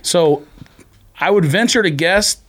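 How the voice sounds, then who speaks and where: A man with a somewhat high-pitched voice chats as a podcast co-host. He is matter-of-fact and analytical, often questioning as he goes. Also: measured pace, fairly bright tone. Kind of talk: casual chat about sneakers.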